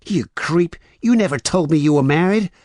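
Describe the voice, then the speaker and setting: Guy doing a sly young english woman, pitched up, husky, acting innocent. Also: very animated, moderate pace, mellow, no room sound, clean studio sound. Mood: furious. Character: scheming young woman con artist, feigning innocence.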